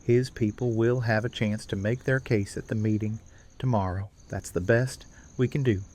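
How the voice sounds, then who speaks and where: An actor playing a weary, troubled but reasonable man in an audio drama, speaking in a measured, serious way. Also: lowish voice, steady tone, darkish tone, a little hiss or hum, natural voice. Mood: frustrated.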